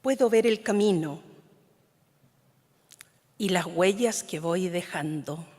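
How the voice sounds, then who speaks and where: A woman poet from Chile whose mother tongue is Mapudungun, reading her poems in a measured, solemn way, often letting lines fade into a whisper. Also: fairly low pitch, a little mellow. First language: Mapudungun (Huilliche). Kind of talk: slow, solemn poetry reading. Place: large conference hall. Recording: long reverb of a large hall.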